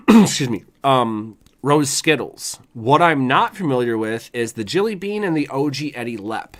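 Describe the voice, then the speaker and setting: A man with a slightly hoarse voice hosting a casual chat show, rambling in a laid-back, slangy and sometimes profane way. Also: mid-range voice, big pitch swings, measured pace. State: hoarse from voice work. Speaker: show host.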